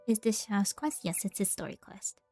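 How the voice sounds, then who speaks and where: Streamer: woman, mellow tone, relaxed gameplay chat. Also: mid-range voice, normal speed, faint music behind. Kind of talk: casual gameplay commentary.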